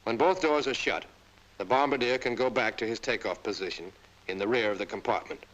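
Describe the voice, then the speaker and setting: A man giving scripted instructional narration for a military training film, talking to the viewer directly as "you" in a brisk way. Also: medium pitch, a bit bright, lo-fi sound.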